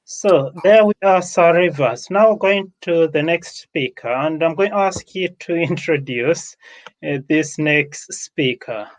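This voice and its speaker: A man's voice: fairly high pitch, animated, measured pace. A man with a Ugandan accent speaks off the cuff as host and speaker at an online summit, emphatic and repeating himself for effect.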